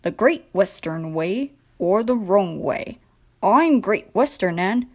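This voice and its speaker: A woman's voice: medium pitch, lively intonation, slow, darkish tone. A woman voicing a proud, cheeky male tank engine in a storybook, short and matter-of-fact with a mock-innocent manner. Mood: brisk, proud.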